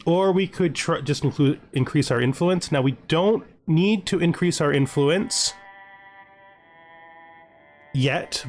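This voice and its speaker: Man doing playful game commentary over music. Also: fairly high pitch. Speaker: host of a gaming video series. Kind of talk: let's play commentary, thinking aloud. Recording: music behind the voice.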